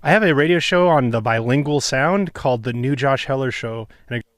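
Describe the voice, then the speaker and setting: A man, the show's producer and a radio host himself, explaining things calmly in casual chat. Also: medium pitch, brisk, no echo, clean studio sound.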